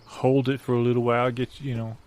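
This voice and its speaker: A man's voice: medium pitch, level tone, fast talker, a little mellow, lightly acted. A man playing a fussy, meddling small-town companion in improvised comedy, folksy and gossipy with a hesitant way of talking. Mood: fussy, put-upon.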